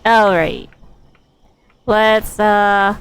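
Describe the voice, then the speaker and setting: A woman game streamer giving casual live commentary, thinking aloud in a halting way. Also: mid-range voice, flat delivery, smooth, no echo.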